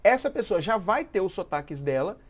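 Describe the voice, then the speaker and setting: A woman's voice: mid-range voice, very animated, a little fast. A woman talking casually on a live stream and explaining a point like a language teacher, repeating herself and asking if the listener follows.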